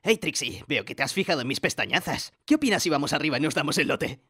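A man's voice: a little high, big pitch swings, fast, pushed and bright, slightly rough, full character voice. A man voicing a vain, blunt boy racer in a cartoon parody dub. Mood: smug.

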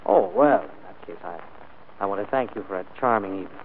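An actor playing a wealthy, proud and urbane employer in a 1940s radio drama, speaking in a clipped, imperious way. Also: male voice, on the low side, very animated, quick pace, vocal fry. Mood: cold, sarcastic. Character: wealthy, commanding employer, suave and proud gentleman. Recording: tinny, noisy 1940s radio broadcast.

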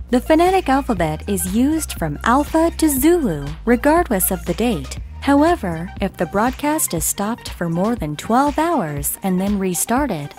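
A woman narrates an educational explainer in a high voice with very animated intonation. She speaks deliberately and enunciates each phrase, with music playing softly behind her.

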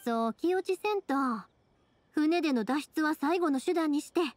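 A woman's voice: sits high, animated, moderate pace, darkish tone, dry recording. A woman playing a spirited, caring young fireworks maker in a game, speaking reassuringly with a Kansai accent. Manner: reassuring, friendly, earnest. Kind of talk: video game voice acting. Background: background music.

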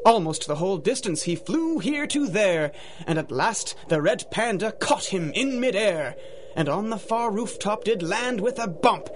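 A man's voice: high voice, expressive, measured pace, noisy background. A man giving theatrical, dramatic narration in rhyming storybook verse for a radio drama.

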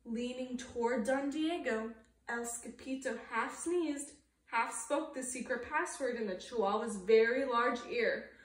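A woman's voice: on the high side, measured pace. A young woman narrating a children's picture book as a preschool storytime reader, with asides to the listeners. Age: young adult. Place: living room, indoors. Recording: roomy sound from camera distance.

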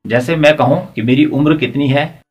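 A man's voice: a little high, moderate pace, muffled recording, soft background music. A man in his forties teaching at a chalkboard, explaining step by step and posing rhetorical questions to the class. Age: 40s. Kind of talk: blackboard lecture. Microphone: lapel mic.

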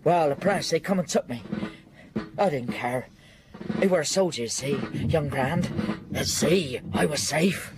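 An actor playing a big, haunted, exhausted sailor in a radio drama, halting and fearful, tormented by a demon. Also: male voice, fairly high pitch, loud music behind the voice. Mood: tormented, weary.

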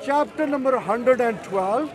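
A man's voice: very high-pitched, measured pace. An older man in a heated street debate in a city park, insistent and repeating short demands. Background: crowd talking.

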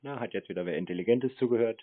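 A man chatting casually on a phone call in a deadpan way, playing naive. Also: fairly low pitch, rapid-fire. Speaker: prank-minded call recipient stringing along a telemarketer. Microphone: telephone. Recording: thin, band-limited phone sound.

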